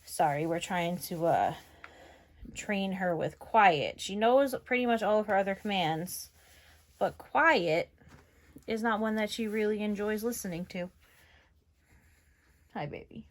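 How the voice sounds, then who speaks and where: Young woman from New York, northeastern American accent, chatty rambling makeup vlogger, medium pitch. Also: measured pace, pauses often. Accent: general northeastern American. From New York, USA. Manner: chatty, rambling, lots of fillers. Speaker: beauty vlogger. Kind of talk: casual makeup tutorial chat.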